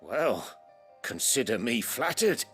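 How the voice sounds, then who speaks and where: A man's voice: medium pitch, big pitch swings, takes it slowly, a bit bright. Humble, wry, chatty male craftsman. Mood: grateful, obliging. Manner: self-deprecating, conversational. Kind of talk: video game voice acting. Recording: game music under the voice.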